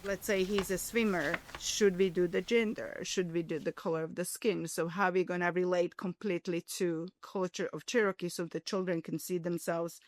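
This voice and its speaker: A printmaking professor from Slovakia who speaks English with a Slovak accent and non-native grammar, talking earnestly in an interview. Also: female voice, fairly low pitch, fairly even intonation, moderate pace, hardly any pauses. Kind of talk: interview and classroom talk.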